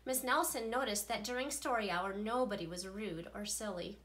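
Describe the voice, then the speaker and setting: A woman, a teacher, reading a children's picture book aloud with playful, expressive delivery. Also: mid-range voice, moderate pace. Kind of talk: storybook read-aloud.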